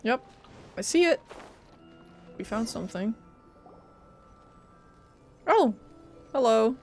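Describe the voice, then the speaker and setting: A woman with a fairly high voice chats casually over a game as a streamer. She is playful and reactive, and faint game music runs behind her. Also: animated, takes it slowly, darkish tone. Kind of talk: casual gameplay commentary.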